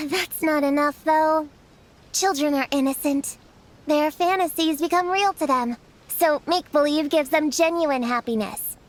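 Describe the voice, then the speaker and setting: A woman's voice: high voice, unhurried. A woman voicing a small, earnest, precocious child who likes to lecture, in a video game cutscene. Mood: earnest, lecturing. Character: small, earnest, know-it-all child friend. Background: background music.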